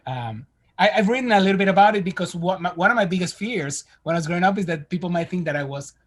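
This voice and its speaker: A man's voice: high-pitched, expressive, fast. A man raised in Venezuela, a native Spanish speaker, telling stories bluntly and with animation as a guest on a casual podcast.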